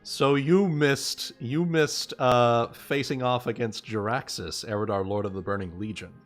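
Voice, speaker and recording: A man's voice: medium pitch, measured pace. A man in casual gamer chat on a livestream, jokey and prone to dramatic quoting. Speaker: host of a tabletop game livestream. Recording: music behind the voice. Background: background music.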